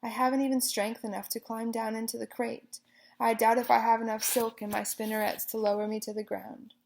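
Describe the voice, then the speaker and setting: Storybook spider: woman playing a wise, weakened female spider, calm and soft. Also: a little high, a little fast, vocal fry, a little hiss or hum. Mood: quiet. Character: gentle, wise female spider, weak and dying.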